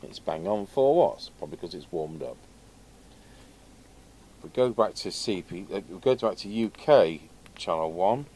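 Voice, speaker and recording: A man's voice: low voice, expressive, a little slow, dark tone. A man giving casual hands-on commentary as he works, muttering short remarks to himself. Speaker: radio repair vlogger. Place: indoors at an electronics workbench. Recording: clear background noise from a workshop. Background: background noise.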